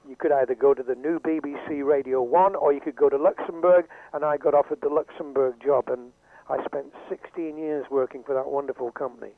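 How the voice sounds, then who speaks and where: A man's voice: medium pitch, fairly quick. Older man, chatty, over a phone line. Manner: lively, chatty, showman patter. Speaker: veteran radio DJ guest. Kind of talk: casual interview storytelling. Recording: dry tinny phone line.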